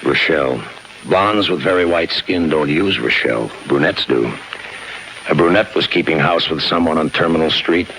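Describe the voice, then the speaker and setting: Low-voiced hardboiled American homicide cop. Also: male voice, moderate pace. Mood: accusing, cool. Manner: clipped, deadpan. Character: hardboiled male homicide police lieutenant, terse and unsentimental. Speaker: lead actor and narrator. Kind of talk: 1950s radio crime drama acting, noir narration. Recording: noisy 1950s radio broadcast recording.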